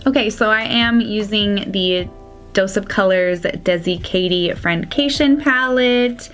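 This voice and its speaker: A young woman with a slightly congested, raspy voice chatting casually through a makeup tutorial. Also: mid-range voice, measured pace, faint music behind. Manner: chatty, drops to soft whispers at times. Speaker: beauty vlogger.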